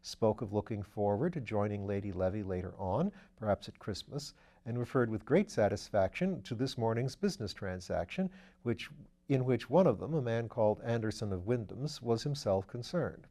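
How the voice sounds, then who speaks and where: Methodical police detective, steady and low-key, read by a man, audiobook. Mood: matter-of-fact. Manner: calm, matter-of-fact, measured.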